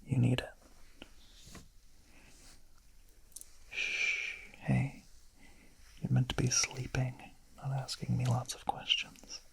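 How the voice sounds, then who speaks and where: Man acting as gentle young male vampire, breathy whisper, gravelly fry, very flat intonation, hesitant, soothing ASMR roleplay. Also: medium pitch, takes it slowly, heavily acted, rough recording. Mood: tender, soothing. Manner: hushed, soothing, hesitant intimate murmur. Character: shy, gentle young male vampire, smitten misfit confessing.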